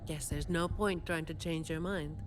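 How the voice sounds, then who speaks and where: A woman's voice: sits low, steady tone, moderate pace, noisy background, lightly acted. An actress voicing a stern, composed, protective young woman fighter in a video game, cool and businesslike. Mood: firm, concerned.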